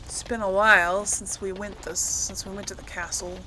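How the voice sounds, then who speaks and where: A woman's voice: mid-range voice, flat delivery, moderate pace, pushed and bright, low-quality recording. A woman hosting a gameplay video, thinking aloud in casual commentary as she plays. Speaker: gaming video host. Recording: clear noise behind voice.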